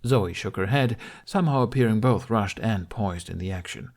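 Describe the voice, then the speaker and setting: A man's voice: on the low side, moderate pace, darkish tone. A man reading scripted audiobook narration of a story.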